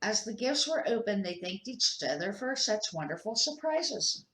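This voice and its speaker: An older woman reads a children's storybook aloud. She is a grandmother with an expressive, unpolished delivery and a medium-pitched voice. The room around her is clearly echoey. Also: normal speed.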